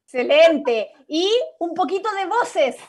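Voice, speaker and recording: High-voiced Chilean woman directing a workshop. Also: animated, takes it slowly, noisy background. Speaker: workshop facilitator directing radio play. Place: indoors at home, video call. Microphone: computer mic on video call.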